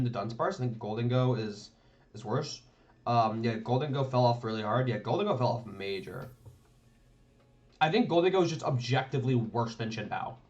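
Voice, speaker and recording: Rambling male streamer, lively pitch. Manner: rambling, thinking aloud, many "like" and "right?". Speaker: streamer reviewing tournament matches. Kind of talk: casual live commentary. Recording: dull lo-fi stream audio.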